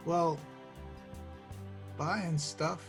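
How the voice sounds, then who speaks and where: A man's voice: a little high, fairly even intonation, extremely slow, fairly bright tone, soft background music, exaggerated voice. A man playing an earnest, easygoing young hero in a casual film table read, talking in a hesitant, conversational way. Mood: hopeful.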